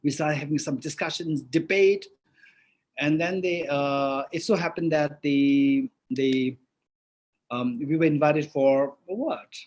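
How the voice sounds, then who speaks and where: A man's voice: fairly high pitch, slow pace, low-quality recording. A middle-aged man with as his gives a Buddhist teaching, asking himself questions and answering them, with many restarts. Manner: lively, rhetorical self-questioning with frequent restarts. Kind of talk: dharma teaching.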